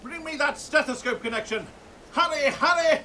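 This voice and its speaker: Manic, high-pitched mad scientist. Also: male voice, normal speed, sharp tone, far from the mic, a little hiss or hum. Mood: frantic, impatient. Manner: imperious, feverish, barking repeated commands. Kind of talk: theatrical radio play acting.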